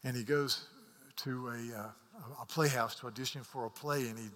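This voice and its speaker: A man preaching a sermon as a pastor, earnest, repeating words as he rises to emphatic peaks and drops to hushed asides. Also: mid-range voice, fairly even intonation, normal speed. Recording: long hall reverb.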